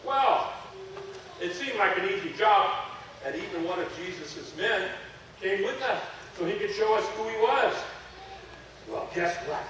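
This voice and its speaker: A man plays an excitable ancient servant who can barely contain himself, chattering and breathless. The voice sits high and swings widely in pitch. It is caught from far off in a very echoey room. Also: a little hiss or hum. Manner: bubbly, breathless, lots of laughter and exclamations. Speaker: actor in a character monologue. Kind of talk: first-person dramatic monologue.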